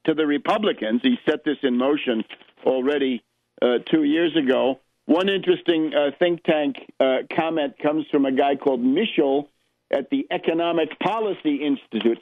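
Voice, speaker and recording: A man's voice: on the high side, no room sound. Man hosting political talk radio, dull lo-fi sound. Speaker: political radio show host.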